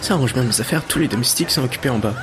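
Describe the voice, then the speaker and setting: A cocky thief acted by a man in an audio drama. He mutters and swears to himself, his pitch leaping around in an animated way. Music is clearly audible behind him. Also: racing, a crowd in the background, natural voice. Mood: sly, amused. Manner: muttering, foul-mouthed, animated. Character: cocky, cursing male burglar on a job. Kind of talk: French audio drama acting.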